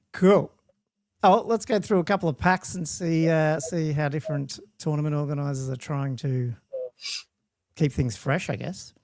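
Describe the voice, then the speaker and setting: A man's voice: on the high side, fairly even intonation, unhurried, pauses often, a little mellow, no room sound, studio quality. A man with an Australian accent, hosting a chatty tabletop gaming podcast in a rambling, laughing way. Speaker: podcast host. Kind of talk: casual podcast chat.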